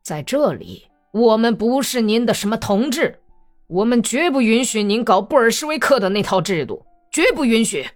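Lady doing a bossy angry old general guy, barking orders, big pitch swings, music behind. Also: expressive, unhurried, a little mellow. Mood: furious shouting. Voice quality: hoarse when angry. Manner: imperious, scolding, commanding. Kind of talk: audiobook character acting.

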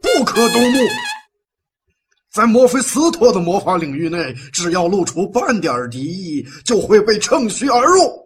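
An actor playing a blustering mentor who lectures young heroes with theatrical bossiness, in a comic audio drama. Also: male voice, high-pitched, very animated, moderate pace. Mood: coaching. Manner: bossy, theatrical lecturing with sudden shouts. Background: background music.

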